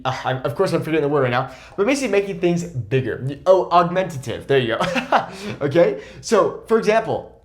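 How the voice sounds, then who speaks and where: Young male language tutor, a bit high-voiced, animated and chatty, keeps asking "okay?". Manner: lively, explanatory, often checks in with "okay?". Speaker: Spanish teacher. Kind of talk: casual video lesson.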